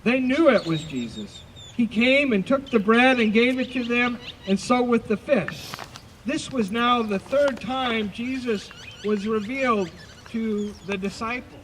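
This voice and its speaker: A man with a very high voice narrates a scripture dramatization outdoors. He takes it slowly and deliberately, projecting each phrase, with faint outdoor noise and birds behind him. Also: expressive, a bit bright, low-quality recording. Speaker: narrator of an Easter service dramatization. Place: outdoors by a lake. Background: birds and outdoor ambience.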